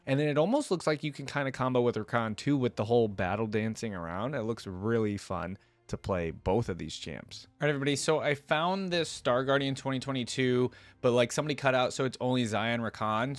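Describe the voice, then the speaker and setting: A man's voice: medium pitch, no room sound. A man giving casual, enthusiastic, slangy reaction commentary as the host of a video. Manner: informal, slangy, enthusiastic fan talk.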